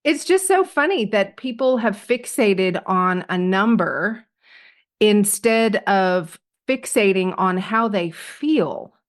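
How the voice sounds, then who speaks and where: A woman hosts her podcast in relaxed conversation, searching for words and pausing often. She laughs freely and warmly encourages her guest. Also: medium pitch. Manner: reflective, hesitant, laughs often. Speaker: podcast host. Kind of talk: casual interview chat.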